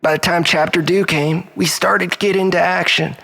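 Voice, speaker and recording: Unhinged male monologuist played by a man: fairly high voice, self-loathing, rambling like a mock-philosophical preacher. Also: steady tone, normal speed, creaky, sharp tone, full character voice. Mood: brooding, rambling. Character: unhinged, self-loathing male storyteller pleading with his lover.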